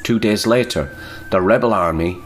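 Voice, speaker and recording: A man reading a scripted historical narration in a measured, even storytelling manner. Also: lowish voice, a little hiss or hum.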